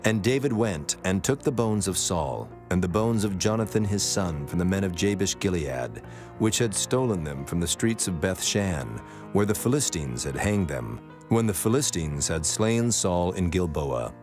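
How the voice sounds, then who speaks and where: A man narrating a dramatized scripture reading in a solemn, measured manner. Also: deep voice, animated, a little mellow. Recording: prominent music behind the voice.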